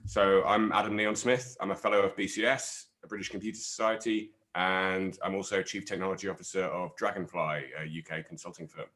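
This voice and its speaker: A man leading an online panel discussion, explaining things in a measured way with the odd filler. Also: deep voice, steady tone, a bit bright. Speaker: panel lead presenter.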